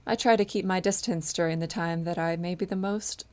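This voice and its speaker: A young woman playing a polite, awkward married housewife with a sly streak in an amateur audio drama, speaking in a halting, nervous way. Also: lowish voice, steady tone, darkish tone, close to an everyday voice. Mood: sly, confiding. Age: young adult.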